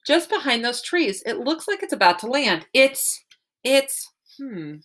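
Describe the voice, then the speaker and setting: An eager child from a storybook, played by a woman reader. The child is curious, earnest and competitive, speaking with a very lively melody. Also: sits high, a little slow, heavily acted. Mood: urgent. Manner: earnest, exclamatory.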